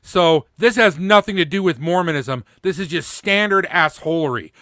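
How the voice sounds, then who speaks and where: A man's voice: fairly high pitch, moderate pace, bright, forceful tone, no echo. A middle-aged man giving blunt, indignant, sweary opinion in unscripted commentary, close on a studio mic. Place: small indoor home studio. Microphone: close studio microphone with pop filter, headphones.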